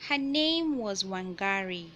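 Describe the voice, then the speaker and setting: A woman reading a children's picture book aloud in a deliberate, teacherly way, pausing to explain and repeat key points. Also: medium pitch, expressive, fairly bright tone, lo-fi sound, slight background noise. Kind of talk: read-aloud storytelling with explanatory asides.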